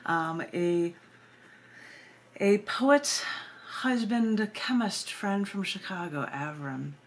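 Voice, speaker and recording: A woman's voice: medium pitch, expressive, slow. A woman poet and editor chatting informally as she introduces her own readings, rambling into playful asides. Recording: noisy amateur camera recording.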